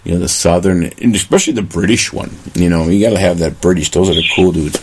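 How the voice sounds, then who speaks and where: A man's voice: low voice, fast talker, background noise. A man with a Wisconsin accent hosting a live radio interview, chatty and effusive. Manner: chatty, enthusiastic, effusive praise. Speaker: radio show host.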